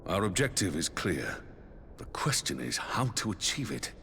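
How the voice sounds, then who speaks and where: An actor playing a grave veteran commander in a video game cutscene, formal, measured and solemn. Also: male voice, deep voice, sing-song, creaky, bright, forceful tone, exaggerated voice. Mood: resolute. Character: grave one-armed veteran commander of a liberated homeland. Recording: long hall reverb, faint background noise.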